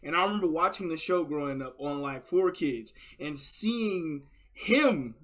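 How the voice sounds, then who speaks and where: A man's voice: on the high side, lively intonation, a little slow, a bit bright. A man hosting a reaction video, giving casual, rambling fan commentary in an effusive, slangy, repetitive way.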